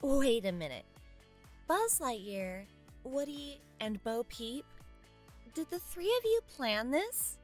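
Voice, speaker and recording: An actress playing a friendly, observant twin sister at a party, conversational in a naturalistic audio drama. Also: female voice, high voice, very animated, slow pace, a little mellow, soft background music, exaggerated voice. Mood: amused.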